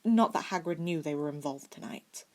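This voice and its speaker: A woman narrating fan fiction as an amateur audiobook reader, expressive with the occasional stumble. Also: deep voice, moderate pace.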